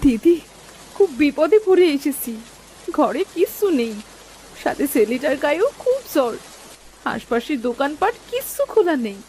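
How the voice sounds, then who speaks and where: A woman's voice: high-pitched, big pitch swings, normal speed, a little mellow, slight background noise. A woman playing an anxious, devout poor village wife and mother in a cartoon story, with a pleading, plaintive manner. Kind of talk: Bengali cartoon story voice acting.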